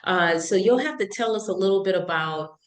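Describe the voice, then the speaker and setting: A woman's voice: medium pitch, animated, brisk. A woman hosting a podcast, reading a short promo and then introducing and questioning her guest in loose, filler-filled speech. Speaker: podcast host. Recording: echoey room.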